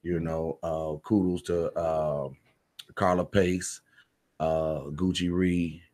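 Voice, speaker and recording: Deep-voiced American man, slangy and plain-spoken. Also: flat delivery, takes it slowly, a little mellow. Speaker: stage playwright and director, radio guest. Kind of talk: casual radio interview.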